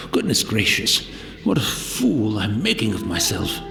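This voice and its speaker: An actor playing a humble, rambling old servant in a video game, courteous and wistful in old-fashioned formal speech. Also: male voice, on the low side, huge pitch swings, takes it slowly, creaky, echoey room, music in the background, exaggerated voice. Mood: flustered, apologetic. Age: older.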